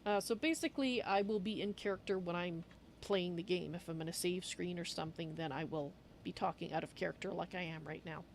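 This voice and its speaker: A woman hosting a livestream, chatting casually in a halting, explanatory way. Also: fairly low pitch, slight background noise. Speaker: livestream host.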